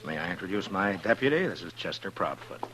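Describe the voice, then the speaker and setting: An actor playing a measured, courteous but gruff American marshal in a 1950s radio western. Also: male voice, deep voice, very animated, a bit bright. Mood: courteous, uneasy. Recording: noisy old radio recording with hum.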